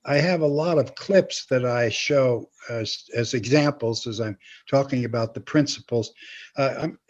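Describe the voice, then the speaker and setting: An older man chatting casually as an interview guest, speaking haltingly with small chuckles. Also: mid-range voice. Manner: halting, chuckles while talking. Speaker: guest, comedy writer and teacher. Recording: slightly dull lo-fi sound.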